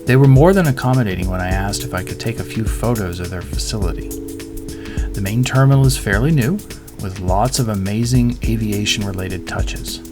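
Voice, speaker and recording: A man's voice: on the low side, normal speed. A middle-aged man reading a scripted voice-over as a pilot narrating an airport review. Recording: voice-over with music underneath. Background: background music.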